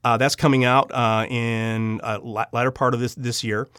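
A man giving a personal testimony as an interview guest, earnest and halting, often restarting his sentences. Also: medium pitch, little pitch movement, a bit bright, smooth, dry recording, studio quality. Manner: earnest, halting with repeated false starts. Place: recording studio.

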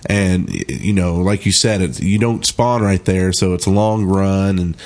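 Male panelist with a low-pitched voice for informal talk about video game play. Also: a little slow, darkish tone. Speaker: podcast panelist. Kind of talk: casual game discussion.

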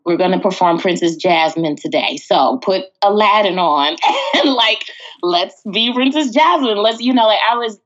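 A woman's voice: mid-range voice, lively intonation, moderate pace, muffled recording. An American woman from Texas chatting casually as an interview guest, telling stories in a chatty way and acting out quotes. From Texas, United States. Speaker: interview guest, musical theater performer. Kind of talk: casual conversational storytelling.

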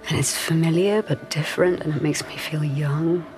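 A woman's voice: low-pitched, steady tone, normal speed, a little mellow, soft background music, natural voice. An actress playing a wistful married woman in her forties in an audio drama, speaking in a hesitant, confiding, intimate way. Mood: intimate, aroused. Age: 40s. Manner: hesitant, confiding, self-conscious.

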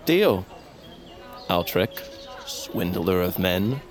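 An eager dramatic young tribesman, super lively pitch, bit of music behind, audio drama. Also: very slow, full character voice. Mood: earnest, exasperated. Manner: earnest, theatrical.

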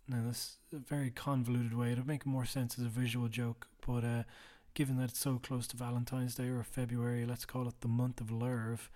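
A tired man hosting a podcast late at night, speaking low and quiet in a rambling, self-deprecating way. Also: level tone, moderate pace, hardly any pauses. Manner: rambling, self-deprecating, drops to a mutter at times. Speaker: podcast host. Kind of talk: casual solo housekeeping chat.

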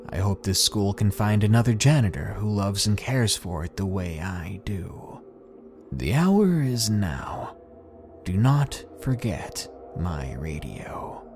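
A man voicing a despairing school janitor writing his farewell note, speaking slowly and hushed, sometimes dropping to a whisper. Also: lowish voice. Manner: slow, hushed, breaking into whispers. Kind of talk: dramatic horror story reading. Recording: soft music behind.

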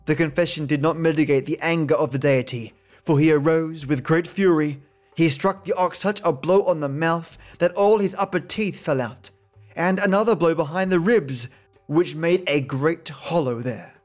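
A man reading an old folk tale aloud in a calm, steady storytelling voice. His pitch sits a little high. Faint music plays underneath. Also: normal speed. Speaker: podcast host reading folk tales. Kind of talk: storytelling narration.

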